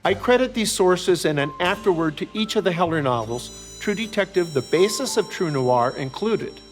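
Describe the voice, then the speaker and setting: An older American man giving reflective, explanatory commentary as an author and host, measured and articulate, with parenthetical asides. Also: high voice, lively intonation, faint music behind. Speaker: author and series host discussing his novels. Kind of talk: reflective explanatory commentary, semi-scripted narration.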